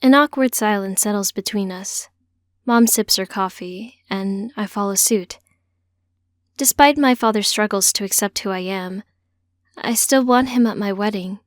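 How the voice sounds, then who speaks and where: A woman's voice: mid-range voice, unhurried, dry recording, clean studio sound. A woman reading scripted first-person fiction as an audiobook narrator.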